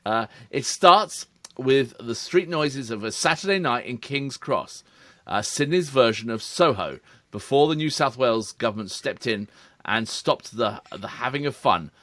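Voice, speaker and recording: A man's voice: mid-range voice, expressive, unhurried, fairly bright tone, dry recording. A middle-aged man, co-hosting a reaction video in casual chat, reading out notes with asides. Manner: chatty, reads background notes with asides.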